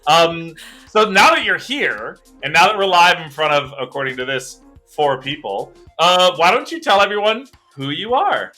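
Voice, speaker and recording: A man hosting a casual work video show, excitable and quick to laugh as he chats with a guest. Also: a little high, soft background music. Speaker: host.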